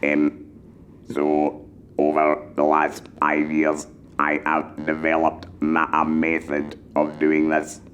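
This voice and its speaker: Very deep, monotone electrolarynx man's voice. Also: dull audio, slight background noise. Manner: measured, deliberately enunciated phrasing. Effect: mechanical electrolarynx vibration. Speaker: patient mentor for electrolarynx users. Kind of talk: explanatory talk giving advice.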